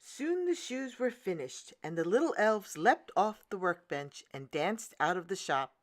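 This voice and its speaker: A woman reads a children's fairy tale aloud at a slow, deliberate pace. Her mid-range voice rises and falls animatedly as she narrates. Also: medium pitch, no echo, studio quality. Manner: deliberate, expressive. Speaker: storyteller, children's read-aloud channel host. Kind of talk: scripted storybook narration for children.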